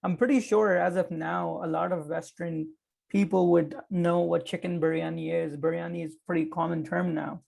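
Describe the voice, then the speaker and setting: Gravelly, flat-voiced young podcast host. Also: medium pitch, normal speed. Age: young adult. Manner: hesitant, filler-laden. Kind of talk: casual podcast discussion.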